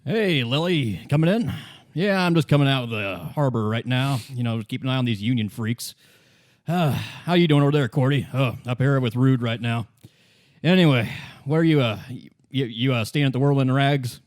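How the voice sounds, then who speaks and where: A callous mercenary soldier acted out by a man in a tabletop radio-play game, mid-pitched and close in a dry space. He rambles and boasts crudely, with a chuckle never far away. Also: no room sound, lightly acted. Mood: smug, amused. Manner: halting, chuckling, rambling drawl. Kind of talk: tabletop roleplay of an intercepted radio transmission.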